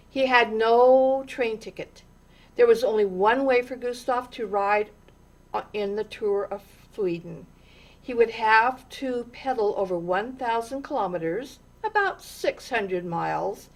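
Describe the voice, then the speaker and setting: Slow, higher-pitched woman reading a children's story. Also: rough recording. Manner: expressive, deliberate, with dramatic pauses and stresses. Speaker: storytime reader. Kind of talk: read-aloud children's story narration.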